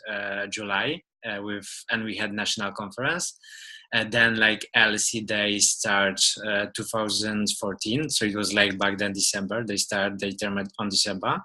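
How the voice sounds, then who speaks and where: A man in his twenties with a Polish accent, presenting slides informally and haltingly. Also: on the low side, bright, forceful tone, rough recording. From Poland. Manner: halting, with frequent filler words. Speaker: webinar presenter.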